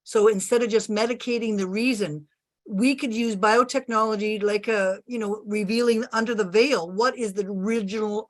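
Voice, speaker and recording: A woman with a middle-pitched voice speaks with confidence. She gives health advice on a video call and leans on homely sayings as she talks. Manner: confident, folksy, uses sayings. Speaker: natural health practitioner.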